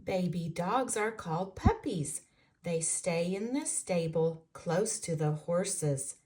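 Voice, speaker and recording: A woman reading a children's storybook aloud in an expressive, carefully enunciated storytelling way for young listeners. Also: lowish voice, takes it slowly.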